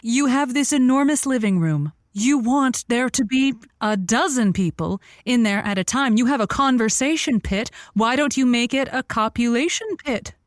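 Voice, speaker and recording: A woman playing a worldly, encouraging radio advice host in a comedy audio drama, with playful, halting delivery. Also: fairly high pitch, no room sound, clean studio sound, lightly acted.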